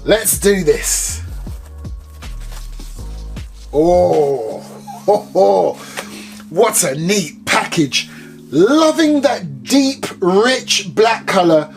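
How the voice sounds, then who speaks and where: A man's voice: high-pitched, sing-song, extremely slow, vocal fry, soft background music. A British man reviewing airsoft gear as he unboxes it, chatty and jokey, exclaiming over each detail.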